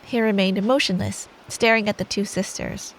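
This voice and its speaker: A woman's voice: mid-range voice, fairly even intonation, normal speed, poor audio. A woman telling a bedtime story in ASMR style as a girlfriend, sweet and playful, taking her time. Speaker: ASMR performer playing a girlfriend. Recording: faint rain noise behind. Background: soft rain sound effect.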